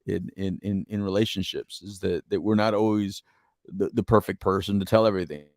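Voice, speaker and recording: Low-voiced middle-aged American man, dry sound. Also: fairly even intonation, a little fast, a little mellow, dry recording. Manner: earnest, rambling, repeats phrases for emphasis. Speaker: podcast co-host. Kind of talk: casual reflective relationship talk.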